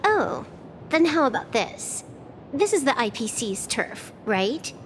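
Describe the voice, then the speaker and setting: A woman's voice: on the high side, very animated, slow pace, slight background noise. A woman voicing a sly, playful young female con artist in a video game, teasing and nonchalant. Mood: teasing, bold. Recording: game music underneath.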